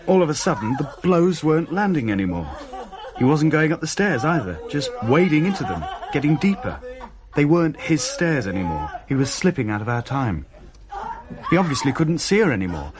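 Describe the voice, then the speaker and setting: A man's voice: on the high side, sing-song, moderate pace, crowd noise. An actor playing a wry family man recounting a haunting in a radio drama, with dry, vivid storytelling. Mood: tense, vivid. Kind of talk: radio drama narration in dialogue with another narrator.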